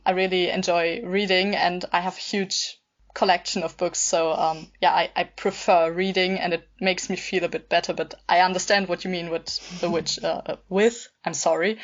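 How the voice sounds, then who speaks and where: A woman taking part in a casual podcast chat, talking informally and conversationally. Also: lowish voice. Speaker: podcast participant. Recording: slightly dull podcast recording.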